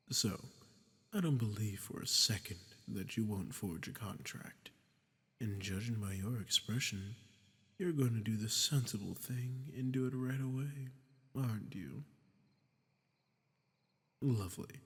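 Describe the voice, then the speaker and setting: A low, gravelly archdemon, acted out by a man, speaks in a long cavernous reverb. He is sadistic and smug, politely menacing, often sinking toward a breathy whisper as in a dark fantasy audio roleplay. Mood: smug, coaxing. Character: sadistic, smug, ancient male archdemon bargaining for souls. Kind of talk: scripted roleplay audio drama monologue.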